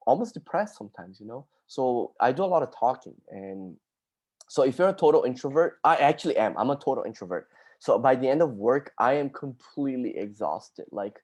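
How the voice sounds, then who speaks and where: A man's voice: mid-range voice, a little mellow. A man from Brazil, an occupational therapist, chatting casually on a video call to answer student questions, with lots of "dude" and "like".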